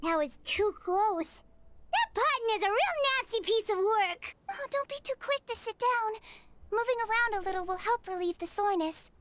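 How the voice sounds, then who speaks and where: A woman voicing a small, excitable fairy-like companion creature in a video game. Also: extremely high pitch, normal speed, slight background noise. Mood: composed, chatty.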